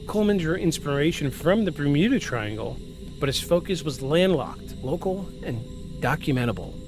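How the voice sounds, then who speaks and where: A man's voice: a little high, expressive, moderate pace, over music. A man in his forties hosting a podcast, moving between scripted narration and joking, self-deprecating casual chat. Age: 40s. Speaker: podcast host.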